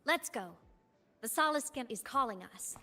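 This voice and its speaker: Wistful young student, high-voiced, reverberant. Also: female voice, animated, normal speed. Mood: resolved. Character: earnest young woman, academy student and musician, wistful, bold dreamer. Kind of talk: emotive video game story acting. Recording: long hall reverb, music behind.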